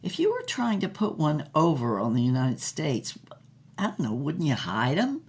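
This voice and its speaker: A woman playing a brash, flirtatious starlet who tells her own torrid tale in an audio drama, theatrical and knowing, with a husky voice. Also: sits low, huge pitch swings, moderate pace, heavily acted. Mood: wry, animated. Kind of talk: dramatized first-person storytelling with character voices.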